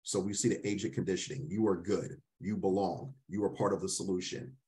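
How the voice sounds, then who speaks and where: Very deep-voiced man leading a workshop. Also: fairly quick, mellow. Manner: affirming, checks in with "right?". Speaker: workshop facilitator. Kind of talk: interactive presentation to participants.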